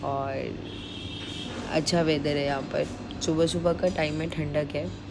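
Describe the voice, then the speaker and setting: A woman's voice: low voice, fairly even intonation, unhurried, noisy background. A young woman travel vlogger talking casually to her phone camera, slipping English phrases into her Hindi. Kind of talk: casual vlog chat to camera.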